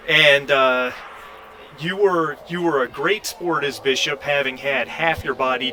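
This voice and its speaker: A man's voice: mid-range voice, noisy background. A man hosting a tabletop roleplaying game as game master, talking casually in an encouraging, explanatory way.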